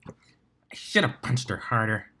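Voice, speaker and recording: A man's voice: mid-range voice, very animated, slow, sharp tone, husky, full character voice. A man voicing a bitter, hot-tempered young female pegasus in a storybook reading, with sulky muttering. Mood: bitter, vengeful.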